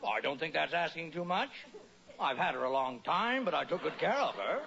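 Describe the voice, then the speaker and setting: An actor playing an affable, matter-of-fact suburban husband with a folksy, casual manner in 1940s radio comedy. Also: male voice, on the high side, expressive, brisk, creaky. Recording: dull, very noisy old radio recording.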